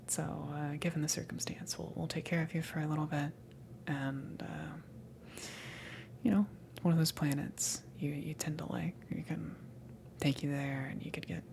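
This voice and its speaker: A woman playing a casual, kind alien salvage crewmember in a hesitant, reassuring ASMR roleplay, whispery and breathy. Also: low voice, a little hiss or hum. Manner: hesitant, rambling, reassuring, soft chuckles. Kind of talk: ASMR roleplay, improvised-sounding soft talk.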